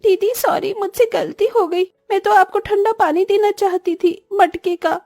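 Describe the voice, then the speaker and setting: A woman's voice: very high-pitched, normal speed, mellow, no room sound, studio quality. A woman voicing a poor young orphan girl in a cartoon story, whiny and childlike. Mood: apologetic. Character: poor young orphan girls, plaintive little sisters. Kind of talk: scripted cartoon dialogue.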